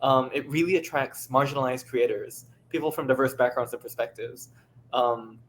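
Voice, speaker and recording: A man's voice: mid-range voice, fairly even intonation, moderate pace, a bit bright, slight background noise. A man giving a thoughtful, articulate answer as a panelist, now and then interrupting himself to rephrase. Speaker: panelist, audio drama creator. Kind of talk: unscripted panel discussion.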